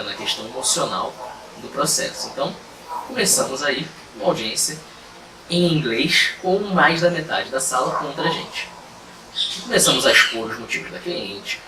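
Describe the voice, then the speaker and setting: A man, a lawyer telling a story from his work to his channel in a relaxed, conversational way with frequent fillers and asides. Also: medium pitch. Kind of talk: casual storytelling to camera. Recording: roomy, distant mic, clear background noise.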